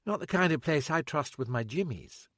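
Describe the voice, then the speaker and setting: A wry young female detective sergeant, voiced by a male narrator, dry and teasing in her remarks. Her voice is lifted somewhat above his own, lively in its rise and fall, recorded dry and close. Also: on the high side, moderate pace, dry recording, voice pushed up.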